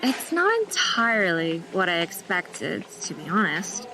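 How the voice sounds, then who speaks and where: A woman's voice: lowish voice, sing-song, a little slow, pushed and bright, slight background noise, exaggerated voice, poor audio. A woman playing an earnest, hesitant new nurse. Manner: tentative, earnest. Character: hesitant, kind-hearted young female nurse. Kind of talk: audio drama acting.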